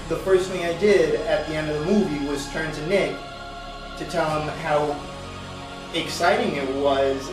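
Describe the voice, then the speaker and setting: Male podcast guest, light raspy voice, conversational, far from mic in a reverberant room with music. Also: on the high side, moderate pace. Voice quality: slightly raspy. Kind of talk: casual movie discussion with a friend.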